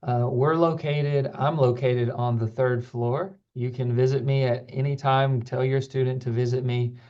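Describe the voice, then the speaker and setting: A man with a mellow, relaxed voice at a middle pitch gives an informational talk. He explains things earnestly and repeats words for emphasis. The sound is a slightly muffled recording from an online call. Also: unhurried. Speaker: student program staff presenter. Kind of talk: informational presentation to families. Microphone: online call microphone.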